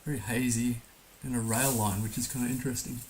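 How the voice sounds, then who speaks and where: A hoarse man musing hesitantly aloud as he gives casual live commentary on a game he is streaming. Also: medium pitch, fairly even intonation, fast talker, a little mellow, low-quality recording. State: hoarse, recovering from being under the weather. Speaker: livestream host playing a geography guessing game. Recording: noticeable background hiss.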